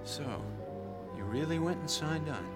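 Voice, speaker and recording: A man's voice: fairly high pitch, expressive, a little slow, loud music behind the voice. A man playing a cocky, sardonic smuggler in a radio drama, talking in a wry, casual, taunting way. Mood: wry, teasing.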